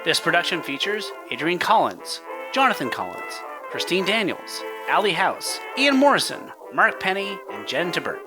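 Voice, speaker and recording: A man delivers an old-time 1940s-style radio announcement. His voice runs high and leaps widely in pitch, with music playing behind him. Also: very animated, normal speed, low-quality recording.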